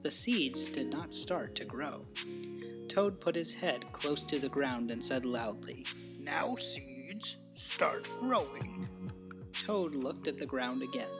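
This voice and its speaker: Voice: mid-range voice, measured pace. A narrator reading a scripted children's bedtime story from a picture book. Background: music.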